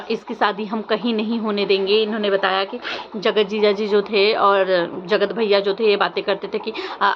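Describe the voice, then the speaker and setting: A young woman gives casual opinion commentary to viewers, insistent and questioning, often repeating her phrases. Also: mid-range voice. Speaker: vlogger. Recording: muffled lo-fi audio, faint background noise.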